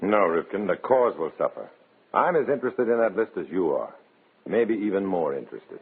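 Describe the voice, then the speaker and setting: An actor playing a calm, placating undercover agent in an old-time radio drama, measured and down-to-earth. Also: male voice, sits low. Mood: cool, persistent. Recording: noisy, tinny old radio recording.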